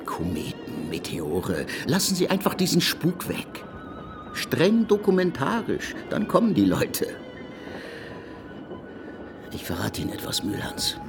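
An actor playing a self-assured, probing criminologist in a German radio play, speaking formally and precisely. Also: male voice, a little high, huge pitch swings, unhurried, vocal fry, pauses often, over music, full character voice. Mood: confiding, insistent.

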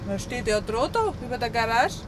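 High, lively young Bavarian woman. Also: fairly quick, background noise. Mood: polite, feigned casual. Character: shrewd, guarded young Bavarian woman baiting a suspect. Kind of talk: 1950s dialect radio play acting.

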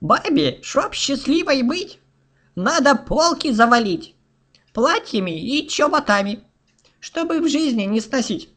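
A woman's voice: sits high, very animated, fairly bright tone, full character voice. A woman voicing a boastful, taunting three-hundred-year-old cannibal witch in a rhymed fairy-tale audio play. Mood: menacing, instructive. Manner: boastful, taunting, slow rhyming delivery.

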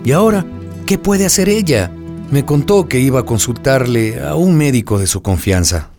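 A man's voice: medium pitch, expressive, normal speed, over music, natural voice. A man playing a nosy, folksy neighborhood father who narrates a radio drama in a confiding, gossipy way. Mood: concerned.

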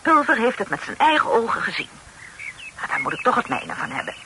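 A woman's voice: fairly high pitch, sing-song, a little fast. An actress playing an indignant, fretful middle-aged lady of the house in a period radio drama, often repeating her words. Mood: agitated, insistent. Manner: stammering, repeating words when agitated. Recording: noisy old radio play recording.

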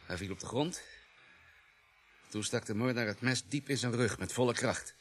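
Man playing male pathologist, coolly analytical, matter-of-fact, exact phrasing, mid-pitched, radio drama. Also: moderate pace, a bit bright, natural voice. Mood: clinical, assured. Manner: precise, analytical.

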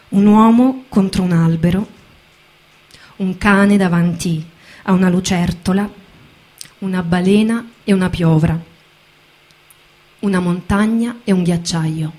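A woman giving theatrical spoken narration in a measured, deliberate way, pausing between phrases. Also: fairly low pitch, darkish tone. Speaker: narrator, performer.

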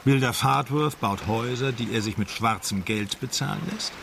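Casting: matter-of-fact detective adjutant, middle-pitched, dryly questioning, radio mystery play, noticeable background noise. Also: male voice, normal speed, a bit bright. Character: Dutch police adjutant, matter-of-fact friend and investigator. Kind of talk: radio crime drama dialogue. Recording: clear background noise under dialogue.

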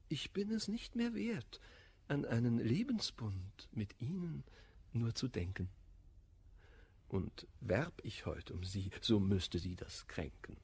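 An actor playing a proud, wounded idealist in love, declaiming classical German verse in a radio drama. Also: male voice, on the low side, a little mellow, breathy edge, full character voice. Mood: solemn, rueful. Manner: declamatory verse delivery, measured and emphatic.

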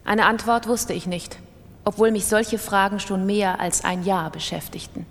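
A woman's voice: mid-range voice, steady tone, normal speed, close to an everyday voice. A woman performing a troubled, defiant gambler and narrator in a literary radio drama. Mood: grave, bleak. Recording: reverberant hall.

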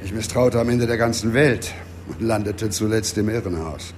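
A man acting an embittered, unyielding investigator in a radio crime drama, speaking deliberately and with emphasis. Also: fairly low pitch, darkish tone, a little hiss or hum, lightly acted. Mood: bitter, confiding. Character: embittered, dogged investigator, persecuted but unyielding.

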